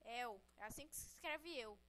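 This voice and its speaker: A woman recording a casual gaming video, trading loud slang-filled jokes and teasing the others. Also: a little high, expressive, normal speed. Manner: loud teasing slang-heavy banter with frequent laughs. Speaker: video maker. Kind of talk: casual joking chat while recording gameplay. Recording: very noisy home recording.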